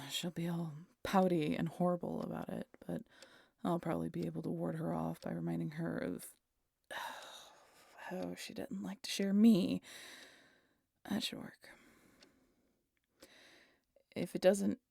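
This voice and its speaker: A weary, guarded vampire woman, acted by a woman speaks in a low, gravelly voice with a husky, breathy edge. She is dryly sardonic yet protective, talking haltingly and intimately to one listener in an audio roleplay. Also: level tone, dark tone, no echo, studio quality, heavily acted. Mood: uneasy, reassuring. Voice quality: breathy, husky, often dropping to a whisper.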